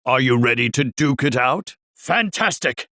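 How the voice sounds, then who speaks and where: An actor playing a bombastic, pompous middle-aged arena owner in a video game, announcing fights in grandiose, florid speech. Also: male voice, mid-range voice, expressive, unhurried, pushed and bright. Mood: showman fanfare. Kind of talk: theatrical video game voice acting, ringside commentary. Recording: echoing hall, distant reverberant voice.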